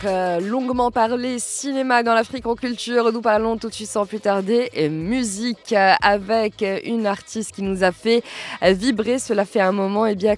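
A radio culture show host presenting a film review and introducing a guest from a prepared text, with some fillers. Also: mid-range voice.

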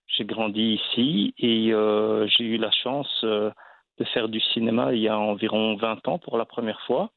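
A man's voice: lowish voice, steady tone, measured pace, no room sound. A man from Belgium, an actor and guest, telling his story in a casual interview with many fillers and restarts. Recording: dry, phone-like line.

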